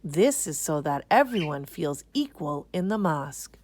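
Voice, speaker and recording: A kindly storybook auntie played by a woman reader. She explains patiently and instructively to children. Her pace is slow and her pitch moves in lively swings. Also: full character voice. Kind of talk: children's picture book read-aloud.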